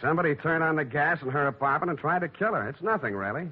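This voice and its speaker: An actor playing a tough, wry American private detective in clipped, sardonic 1940s radio style. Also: male voice, fairly high pitch, expressive, fast talker, slightly rough. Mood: cool, needling. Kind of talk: 1940s radio acting, hard-boiled narration. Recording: dull noisy old radio recording.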